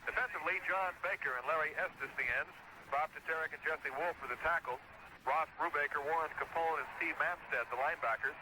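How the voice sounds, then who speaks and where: A man's voice: a little high, fairly quick. An American man calling a live football game on radio in clipped, present-tense play-by-play phrases. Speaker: radio play-by-play sports announcer. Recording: very noisy old 1970s radio broadcast.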